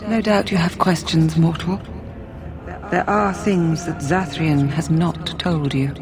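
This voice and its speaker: An actress playing a regal, solemn and mysterious forest spirit, speaking formally in a fantasy video game. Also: female voice, sits low, steady tone, slow. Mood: stately, solemn. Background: music.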